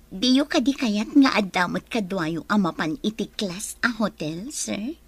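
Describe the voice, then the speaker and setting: A woman's voice: medium pitch, lively intonation, measured pace, breathy edge, dry recording. A woman with an Ilocano accent playing a wistful, jealous office secretary in a radio drama, pouty and defensive.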